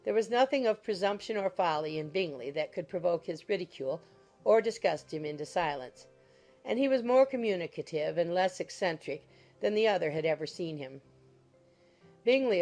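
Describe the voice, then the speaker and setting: A woman narrating a classic novel in a measured, literary storytelling manner. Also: on the low side, a little mellow. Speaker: audiobook narrator. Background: background music.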